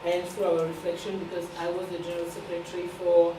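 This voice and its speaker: Lowish-voiced woman, echoey room. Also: completely flat delivery, quick pace, distant mic. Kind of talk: announcement and remarks to a gathering. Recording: echoey, noisy room from afar.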